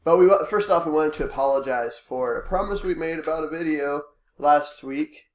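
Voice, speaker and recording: A man with a head cold hosts a laid-back podcast, his voice congested and interrupted by the odd cough. He talks in a relaxed, hesitant way, filling gaps with fillers as he works through his thoughts. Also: fairly high pitch, moderate pace, reverberant. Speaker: podcast host. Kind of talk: casual chat.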